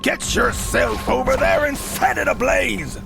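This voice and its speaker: A man playing a gruff, domineering pirate captain in an audio drama, barking orders in a gravelly stage-pirate accent. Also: extremely high pitch, very animated, measured pace, over music, heavily acted. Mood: angry, commanding.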